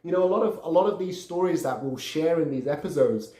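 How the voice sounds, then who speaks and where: A man's voice: on the high side, fast talker, mellow, reverberant. A man in his thirties from Brazil, hosting a podcast and telling his life story in an earnest, casual way, often repeating words as he searches for what to say. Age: 30s. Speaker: podcast host.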